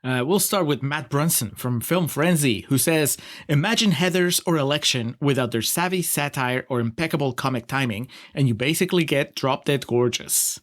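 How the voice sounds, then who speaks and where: A man's voice: mid-range voice, normal speed, hardly any pauses, fairly bright tone. A man with a Peruvian accent co-hosting a casual film review podcast, wry and sarcastic, often breaking into laughter. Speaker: podcast co-host.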